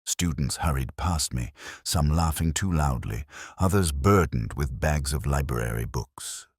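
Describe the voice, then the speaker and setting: A man narrating a scripted first-person detective story in a measured, reflective, literary manner. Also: rumbling low, slightly rough, no room sound, clean studio sound.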